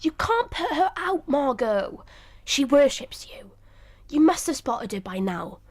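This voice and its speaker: Female actor, bossy wry woman, lightly high pitch, swooping intonation, emphatic, radio drama. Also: very animated, measured pace. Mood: insistent. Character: brisk, bossy, loyal playwright's wife, wryly teasing.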